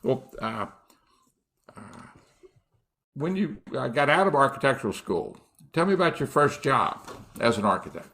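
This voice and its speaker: A man in his late sixties hosting a casual live-stream interview, halting with fillers and restarts. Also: medium pitch, sing-song, vocal fry, sharp tone. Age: 60s. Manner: halting, many fillers and restarts, laughs often. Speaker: host.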